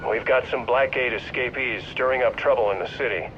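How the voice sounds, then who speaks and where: An actor playing a weary, dutiful police officer in a video game, speaking hesitantly and matter-of-factly over a radio. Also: male voice, medium pitch, a little fast. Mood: hesitant, concerned. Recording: dull radio transmission with loud noise.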